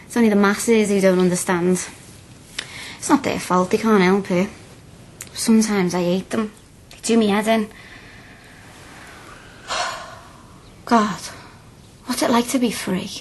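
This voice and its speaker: An actress playing a blunt, chatty, curious young student in a radio drama, with a Liverpool accent and colloquial phrasing. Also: female voice, medium pitch, steady tone, takes it slowly, pauses often, a little hiss or hum, natural voice. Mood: earnest, restless.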